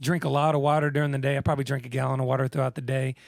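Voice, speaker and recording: A man's voice: mid-range voice, flat delivery, rapid-fire, vocal fry, dry recording, clean studio sound. A man with a naturally scratchy voice, a musician guest chatting laid-back on a radio show and laughing easily. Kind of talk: radio interview chat.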